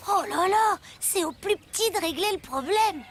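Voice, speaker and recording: Squeaky-high, impatient little cartoon child. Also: female voice, lively intonation, normal speed. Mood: concerned. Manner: eager, exclamatory. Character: impatient, curious, excitable young cartoon child. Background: music.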